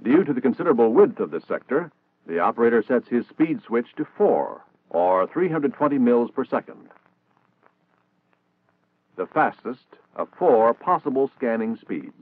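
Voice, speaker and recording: A man's voice: mid-range voice, big pitch swings, moderate pace, dry recording. American man, narrow-band 1960s film narration. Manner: formal, precise, instructional. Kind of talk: scripted 1960s military training film narration.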